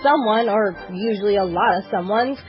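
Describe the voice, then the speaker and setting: An American woman reading a scripted comedic promo as a tongue-in-cheek sales pitch. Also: moderate pace, muffled recording. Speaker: podcast promo presenter. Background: faint music.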